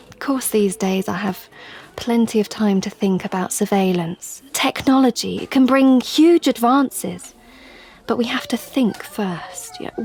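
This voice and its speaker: Earnest young mother, quietly regretful. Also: a little high, breathy edge. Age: young adult. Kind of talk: audio play monologue.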